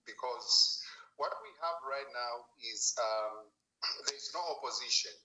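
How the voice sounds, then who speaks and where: A man calling in, politely and haltingly putting a formal question. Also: mid-range voice, bright, forceful tone, low-quality recording. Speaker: caller. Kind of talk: formal, hesitant question to guest.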